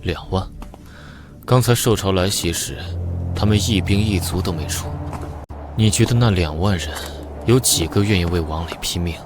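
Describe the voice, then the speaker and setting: An actor voicing a calm, menacing and powerful commander in a motion-comic drama, speaking with cool authority. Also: male voice, sits low, music in the background, close to an everyday voice. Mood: cool, resolute. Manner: cool, commanding, unhurried threats.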